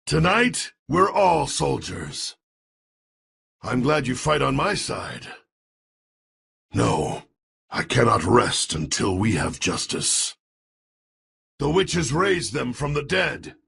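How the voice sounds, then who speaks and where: A man's voice: medium pitch, big pitch swings, slow pace, creaky, long pauses, a bit bright, husky, full character voice. A man acting a grim, noble, weary soldier in a video game, with a grave theatrical delivery. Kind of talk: dramatic video game voice lines.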